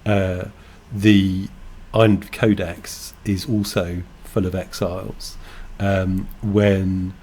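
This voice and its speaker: An English man co-hosting a podcast, talking thoughtfully in halting, restarting sentences. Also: low-pitched, little pitch movement, darkish tone. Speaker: podcast co-host, game designer. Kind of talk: casual chat.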